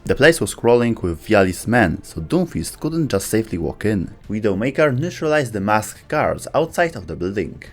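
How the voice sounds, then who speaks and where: Low, lively male lore narrator. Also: moderate pace, few pauses. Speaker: narrator of a game lore video. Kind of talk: scripted narration. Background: faint background music.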